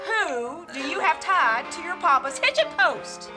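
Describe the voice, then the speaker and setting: A woman's voice: very high voice, lively intonation, measured pace. A woman playing an indignant restaurant owner and protective mother in an amateur Western radio play, scolding and exasperated. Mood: puzzled, alarmed. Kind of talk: amateur old-time radio play acting. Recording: music behind the voice.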